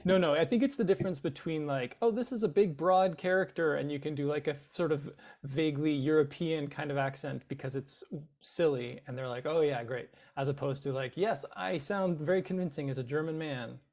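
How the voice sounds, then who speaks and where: A man with a somewhat high, gravelly voice, chatting as a guest. He is self-deprecating and a bit hesitant, with dry humor. He comes from the Boston area. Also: brisk, few pauses, a little mellow. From Boston area, USA. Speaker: guest actor. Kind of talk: casual chat.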